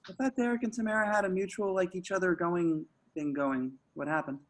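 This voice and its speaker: A man's voice: sits high, steady tone, fairly quick, close to an everyday voice. A man playing an awkward, defensive slacker in his twenties at a table read, hesitant and rambling. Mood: awkward, casual. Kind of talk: table-read acting over video call. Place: remote group video call.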